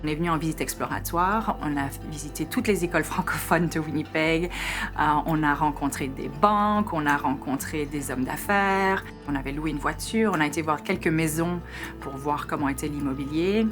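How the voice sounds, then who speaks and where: Low-voiced woman over music. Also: moderate pace. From Belgium. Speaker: international trade director. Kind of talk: personal testimonial interview. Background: music bed.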